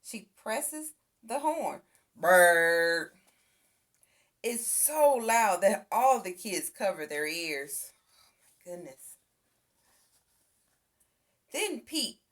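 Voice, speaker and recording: A woman reading a children's picture book aloud as an expressive storyteller. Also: a little high, drawn-out words, long pauses. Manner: expressive, acts out sound effects and exclamations. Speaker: storybook reader.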